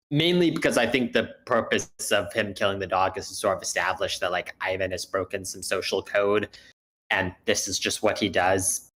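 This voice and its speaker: A man's voice: lowish voice, a bit bright, rough recording. A man giving spontaneous analytical feedback in an online meeting, thinking aloud and hedging as he goes. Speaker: workshop participant giving feedback.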